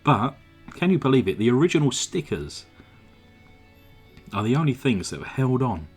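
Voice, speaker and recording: A man with a slightly croaky throat narrating his model car restoration at a home workbench, in a relaxed, chatty way full of "uh" fillers. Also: mid-range voice, moderate pace, soft background music. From England. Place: home workshop. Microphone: desk microphone.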